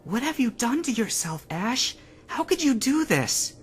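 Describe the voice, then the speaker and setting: A man voicing a defiant, hurt young woman in a high, tense, pleading voice. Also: big pitch swings, measured pace, faint music behind, exaggerated voice. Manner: raised pitch, tense and pleading.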